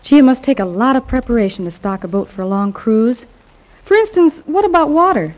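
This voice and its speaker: A woman's voice: a little high, expressive, fairly quick, darkish tone. A woman who sings on a 1940s radio variety show, trading scripted banter in an old broadcast recording. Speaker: singer and radio show regular.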